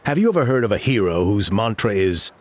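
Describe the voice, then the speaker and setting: A man's voice: lowish voice, big pitch swings, brisk. A man voicing an exasperated tribesman who complains sarcastically about others, then coaxes the listeners into helping. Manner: exasperated gossip, sarcastic mimicry.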